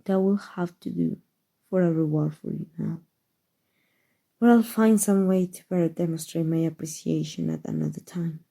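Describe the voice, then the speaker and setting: Woman performing an aristocratic female vampire, very soft dark timbre, lowish pitch, refined formal phrasing, doting and protective, ASMR roleplay. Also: fairly even intonation, moderate pace, gravelly. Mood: affectionate, intimate.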